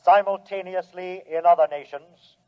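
A man's voice: high-pitched, fairly even intonation, clean tone, close to an everyday voice. Man acting coolly imperious alien invader, muffled old radio. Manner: formal, measured, commanding. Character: calm telepathic humanoid alien invader, male, coolly imperious. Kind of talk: 1950s science fiction radio acting. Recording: muffled vintage radio recording.

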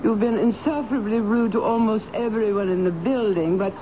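An actress playing a grand, dreamy, tipsy former stage star, dramatic and hesitant, in a 1970s radio drama. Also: female voice, medium pitch, fairly quick, lightly acted. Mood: imperious. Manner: dramatic, hesitant with many ums. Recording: muffled, noisy old radio broadcast.

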